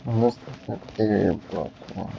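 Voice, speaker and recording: Creaky-voiced man commenting on gameplay. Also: on the low side, moderate pace, dark tone, muffled recording.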